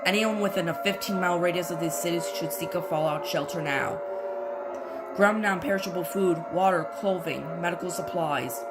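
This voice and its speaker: A woman reading a scripted emergency broadcast as an announcer, in formal, solemn, measured phrases. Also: fairly low pitch, very noisy. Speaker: emergency alert announcer and scenario narrator.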